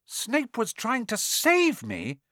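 A man's voice: high-pitched, very animated, a little slow, a bit bright, no echo, clean studio sound, pitched above the performer's own voice, full character voice. A man voicing a young boy hero in an audiobook, earnest, incredulous and blurting out his words. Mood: shocked, disbelieving. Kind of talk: audiobook character acting.

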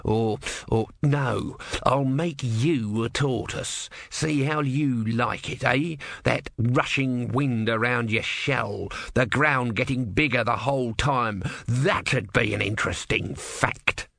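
A man's voice: mid-range voice, slow pace, vocal fry, hardly any pauses, a bit bright, no echo, full character voice. A man voicing a small, grumpy male tortoise god who mutters in a dull, resigned way. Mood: sardonic.

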